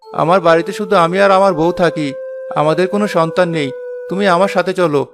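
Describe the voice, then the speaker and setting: High-pitched, quick-talking village woodcutter. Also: male voice, steady tone, music in the background. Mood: kind, concerned. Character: kind, weary childless village woodcutter man. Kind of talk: children's cartoon voice acting.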